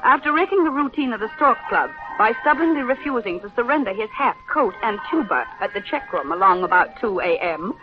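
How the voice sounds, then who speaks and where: A woman's voice: on the high side, animated, a little fast, few pauses, natural voice. An actress in a 1930s radio drama playing a shrewd society-girl reporter with a breezy, knowing manner and wry wit. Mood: wry, brisk. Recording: dull 1930s radio broadcast, music behind.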